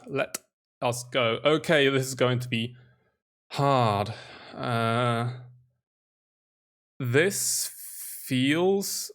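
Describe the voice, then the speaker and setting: A man's voice: medium pitch, fairly bright tone. Man musing through a language quiz. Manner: muses aloud, spells out letters, hesitant guesses. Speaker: quiz channel host. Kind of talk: casual think-aloud quiz commentary.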